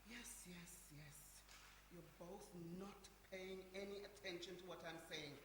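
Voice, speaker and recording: A woman's voice: fairly low pitch, steady tone, a little slow, lightly acted. A woman playing an insistent, worried sister in a radio play, exasperated with her brothers and repeating herself. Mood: worried, pressing. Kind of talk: live-performed radio play. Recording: echoey hall, faint noise.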